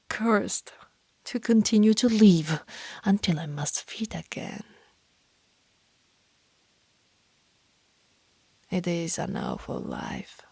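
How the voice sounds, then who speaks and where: An immortal vampire woman acted out by a female performer confides in slow, breathy whispers, tender and full of sorrow. Her voice sits fairly low, with archaic, formal phrasing. The sound is close and dry, in the manner of ASMR roleplay. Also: warm tone, no echo, exaggerated voice. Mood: sorrowful.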